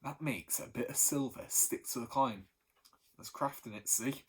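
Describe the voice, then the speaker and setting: A man voicing a middle-aged baker who boasts and shares secrets in a hushed storybook voice. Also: medium pitch, normal speed, husky, natural voice. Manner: boastful, then hushed and confidential.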